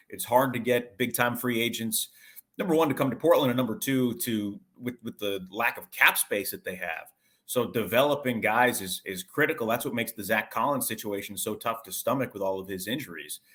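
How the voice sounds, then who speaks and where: A man with a fairly low voice speaks as a sports radio broadcaster in an interview. He explains things in detail and conversationally, often building a point in short, broken phrases. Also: fairly bright tone.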